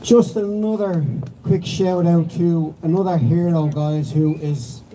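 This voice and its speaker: A man's voice: on the high side, steady tone, measured pace, noisy background. A man acting as compere at a rally, introducing speakers in a loose, chatty way. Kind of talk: casual announcing.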